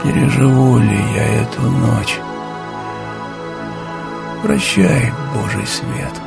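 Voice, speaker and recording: Creaky-voiced, earnest young student. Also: male voice, medium pitch, sing-song, takes it slowly, over loud music, heavily acted. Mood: weak, solemn. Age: young adult. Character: earnest young male seminary student, wavering and devout. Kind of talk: radio play acting.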